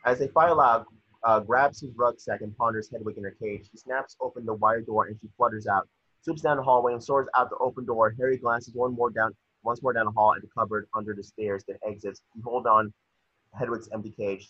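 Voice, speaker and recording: A man with a husky voice reading out stage directions as scripted narration at a table read on a video call. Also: lowish voice, steady tone, brisk, bright, forceful tone, no echo. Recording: muffled, dry video call audio.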